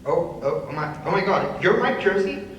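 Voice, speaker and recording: A man's voice: sits high, very animated, moderate pace, lo-fi sound. A man acting in a live reading as a starstruck young fan, nervous, gushing and stammering. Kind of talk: live dramatic script reading. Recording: distant echoey hall, faint noise.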